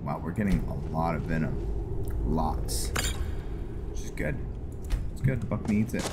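A man giving casual, halting gameplay commentary as he thinks aloud in a laid-back manner. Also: very deep voice, lively intonation, very noisy. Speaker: video game streamer, host. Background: music.

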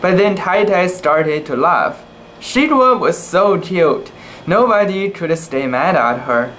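A young man reading a children's book aloud in a casual, halting way, with cooing asides about the pictures. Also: sits high, lively intonation, a bit bright, a little hiss or hum, low-quality recording. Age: young adult.

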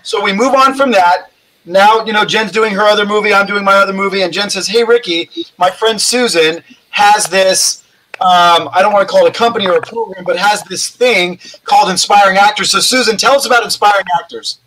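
A man's voice: high-pitched, brisk, sharp tone, a little hiss or hum, poor audio. A man hosting a casual video call chat, upbeat and enthusiastic. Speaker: host.

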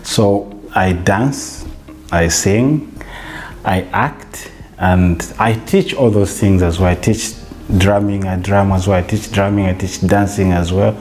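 A Zambian man in his forties, a theatre facilitator, talking reflectively in a relaxed interview in a bright living room, with restarts and "you know". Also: low voice, slow pace, faint music behind.

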